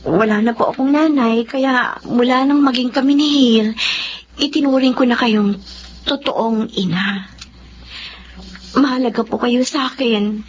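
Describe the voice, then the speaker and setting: A woman's voice: fairly high pitch, lightly acted. A woman playing a respectful, weary young mother and daughter-in-law in a radio drama, earnest and polite. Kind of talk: Filipino radio drama acting. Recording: dull audio, faint noise.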